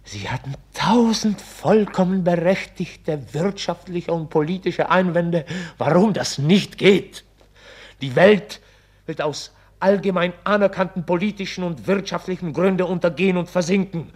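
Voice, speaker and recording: A weary author-chronicler in a radio drama, in a fairly high male voice. He is solemn and oratorical, resigned yet exasperated, as if answering to his conscience. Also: unhurried, darkish tone. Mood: bitter irony. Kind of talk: radio play acting, narration turning to argument.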